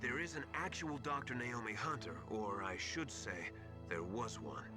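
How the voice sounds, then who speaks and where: An actor playing a seasoned, sober military mentor in a video game, explaining things in a measured way. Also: male voice, medium pitch, natural voice. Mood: grave, confiding. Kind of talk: dramatic video game voice acting. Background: game music.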